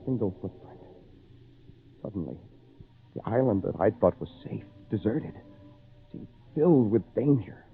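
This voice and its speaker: An actor playing a lonely, earnest castaway in an old radio drama, with theatrical, deliberate phrasing. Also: male voice, fairly low pitch, animated, creaky, husky, faint music behind, heavily acted. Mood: brooding, hopeless. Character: lonely castaway man, brooding, earnest, excitable. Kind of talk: old time radio drama narration and acting. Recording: tinny, noisy old radio recording.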